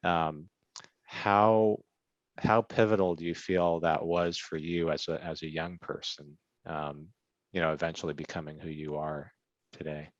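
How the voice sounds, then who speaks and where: A man moderating a panel, asking questions in a hesitant, soft-spoken way. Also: very deep voice, steady tone, unhurried, no echo. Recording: dull, muffled, dry.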